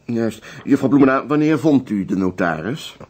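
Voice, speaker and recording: An actor playing a courteous, methodical police inspector in a Dutch radio drama, businesslike with pensive hesitations. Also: male voice, medium pitch, expressive, normal speed, a little mellow, slightly rough. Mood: questioning.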